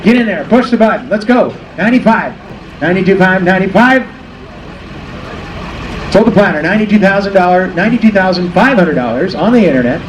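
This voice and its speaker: A man's voice: high-pitched, lo-fi sound, slight background noise. A man calling an outdoor farm auction, chanting bids in a rhythmic patter with jovial asides. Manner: rhythmic rapid number chanting, jovial banter. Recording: distant PA voice over crowd.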